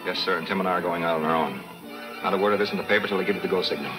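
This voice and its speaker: An American actor playing a hard-nosed police detective in a 1940s film serial, terse and dry. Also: male voice, sits low, very fast, roomy sound, natural voice, rough recording. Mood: brisk, dry. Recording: old film soundtrack with music.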